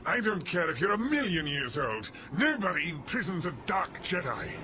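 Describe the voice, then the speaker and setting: An actor playing a menacing, fanatical dark Jedi villain in an audio drama, commanding and theatrical. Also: male voice, high voice, very animated, moderate pace, noisy background. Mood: threatening. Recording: loud music over the voice.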